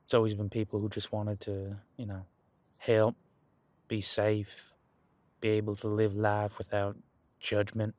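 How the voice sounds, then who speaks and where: An easygoing ancient demon detective played by a man talks in a low voice, close and dry. He muses in a folksy, laid-back way, as if chatting with a companion in an audio roleplay. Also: monotone, measured pace, long pauses, a little mellow, no echo, lightly acted. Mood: relaxed, musing. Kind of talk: conversational one-sided audio roleplay.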